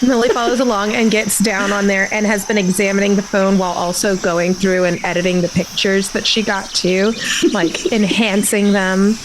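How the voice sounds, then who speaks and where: A woman's voice: medium pitch, flat delivery, normal speed, background noise. A woman casually narrating her character's actions as a player in an improvised tabletop game.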